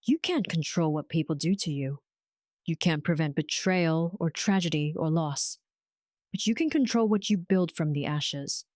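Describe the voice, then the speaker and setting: A woman's voice: low-pitched, moderate pace, darkish tone, no echo, studio quality, close to an everyday voice. A woman voicing a tender, resolute young survivor in an audio romance story, speaking earnestly and with measure.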